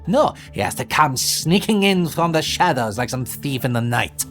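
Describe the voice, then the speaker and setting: A man's voice: fairly high pitch, lively intonation, moderate pace, a bit bright, faint music behind. An actor playing a weary, theatrical immortal man who talks in florid, sardonic turns in an audio roleplay. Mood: scornful. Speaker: lead character in an audio drama. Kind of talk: ASMR audio roleplay acting.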